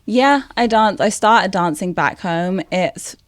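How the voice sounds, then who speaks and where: A young woman with a neutral British accent talks casually on a podcast, full of fillers and small hedges. Her voice sits at a middling pitch and is recorded close and dry, with no room around it. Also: slow, dry recording. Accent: neutral British English. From England. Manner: chatty, many fillers and hedges. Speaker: guest. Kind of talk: casual chat.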